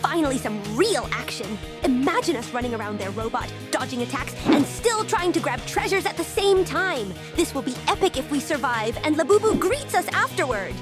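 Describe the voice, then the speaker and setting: A woman voicing a bubbly high young singer. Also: normal speed, hardly any pauses, music in the background. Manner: bubbly, excitable.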